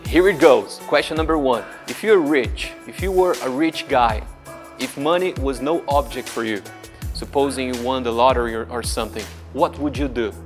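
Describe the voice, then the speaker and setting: A man's voice: medium pitch, normal speed, soft background music. Chatty man hosting. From Brazil. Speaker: host. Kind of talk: casual hosting and interviewing.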